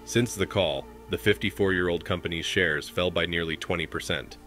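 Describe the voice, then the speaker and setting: A man in his thirties hosting a commentary show, reading posts aloud in a steady, measured way. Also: sits low, faint music behind. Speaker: commentary host.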